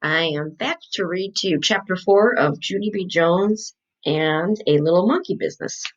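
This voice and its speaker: A woman reading a storybook aloud as a teacher of young children, in an expressive way, on a home recording. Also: fairly low pitch, moderate pace, muffled recording.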